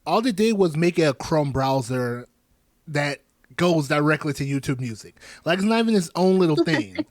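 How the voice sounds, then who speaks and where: A man in his thirties hosting a podcast, chatting casually with animated, joking asides. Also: on the high side, normal speed, dry recording, clean studio sound. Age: 30s. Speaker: podcast host.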